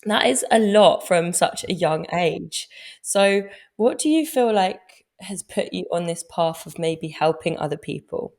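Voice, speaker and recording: A woman's voice: fairly low pitch, animated, unhurried, a little mellow. Bubbly woman hosting a podcast. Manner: bubbly and gushing, lots of praise and laughter. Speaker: podcast host.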